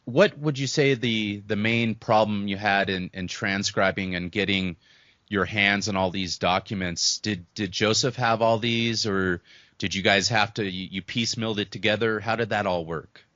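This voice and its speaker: A man's voice: lowish voice, fairly even intonation, moderate pace, bright, forceful tone, no echo, lo-fi sound. A man hosting a radio interview show, asking casual questions and often repeating words.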